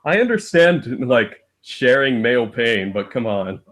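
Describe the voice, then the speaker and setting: A man's voice: mid-range voice, big pitch swings, unhurried, a bit bright. A man making casual, joking commentary as he reacts to a story. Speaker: co-reader and commentator on a live reading stream.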